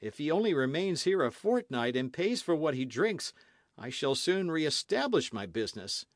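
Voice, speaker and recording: A man voicing an anxious, fawning male innkeeper who pleads in a high, whiny voice. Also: big pitch swings, normal speed, no echo, studio quality. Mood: scheming.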